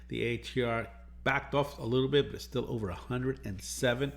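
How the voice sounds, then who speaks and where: A man's voice: mid-range voice, fairly even intonation, a little fast, vocal fry. A man hosting a market analysis lesson, explaining charts in an explanatory way and repeating phrases for emphasis. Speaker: trading educator and market analyst host. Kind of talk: premarket market analysis over charts, semi-scripted explanatory talk.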